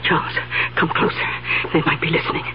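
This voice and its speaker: An actress playing a confused, stubborn, fretful elderly aunt in a 1970s radio drama, hesitant and stammering yet insistent. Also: female voice, a little fast, close to an everyday voice. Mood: secretive. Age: older. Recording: dull old radio recording, faint hum.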